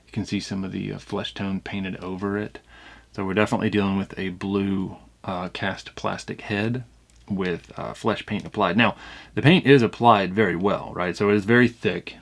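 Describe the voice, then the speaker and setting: Man, low voice, casual review commentary, conversational, thinking aloud. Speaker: toy review channel host.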